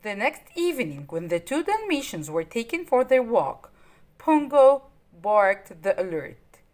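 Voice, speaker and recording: A woman reads a children's story aloud. Her voice sits fairly high and moves in lively swings. She addresses young listeners simply, stressing the dramatic words. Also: measured pace. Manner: simple, child-directed delivery with dramatic emphasis.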